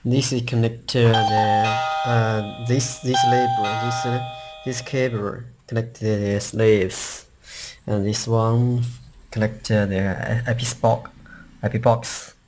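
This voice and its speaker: A man giving an unscripted product demonstration, halting and repeating himself as he searches for words. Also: lowish voice, flat delivery, darkish tone, soft background music. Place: indoors at a worktop. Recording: noisy handheld recording with clicks.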